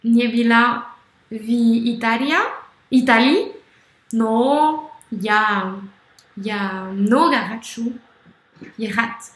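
A young woman with a French accent, speaking slowly and haltingly on a video call, searching for words with many fillers. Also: on the high side, a bit bright, roomy sound. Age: young adult. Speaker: language-learning video host. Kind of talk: halting conversation in a learned language. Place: small room indoors. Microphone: red headphones, webcam video call.

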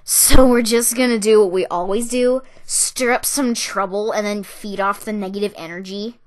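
Woman as a sullen, deadpan teen siren girl. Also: medium pitch, moderate pace, lightly acted, low-quality recording. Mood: sullen, sarcastic. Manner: deadpan, dismissive. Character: grumpy, sarcastic teenage girl siren, bored and sullen. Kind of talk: cartoon character voice reel.

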